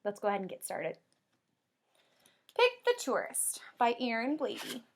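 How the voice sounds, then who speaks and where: A woman kindergarten teacher doing an expressive read-aloud for young children, with drawn-out emphasis and simple explanations. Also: a little high, slight background noise. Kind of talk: animated children's read-aloud with comprehension questions.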